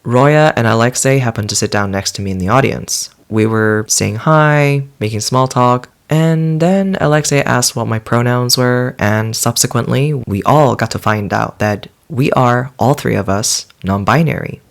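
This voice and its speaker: A man's voice: medium pitch, normal speed, vocal fry, hardly any pauses. A man hosting a podcast, reading an earnest, enthusiastic introduction to the new season. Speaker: podcast host. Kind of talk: warm scripted podcast intro.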